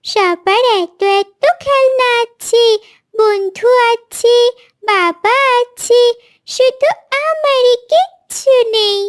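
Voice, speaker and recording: Little girl bird: tiny very high voice, slow childlike delivery, sweet and plaintive, children's cartoon, dry sound. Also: full character voice. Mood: sad, plaintive.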